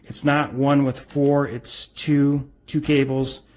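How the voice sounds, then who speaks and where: A man's voice: medium pitch, little pitch movement, slow pace, darkish tone. A middle-aged man giving a casual, unscripted product review in an informal, rambling, explanatory way.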